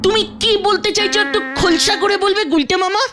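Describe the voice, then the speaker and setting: A woman's voice: very high-pitched, fairly quick. A woman voicing a hesitant, questioning young male sidekick in a cartoon. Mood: puzzled. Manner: hesitant, pleading for explanations. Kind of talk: cartoon acting.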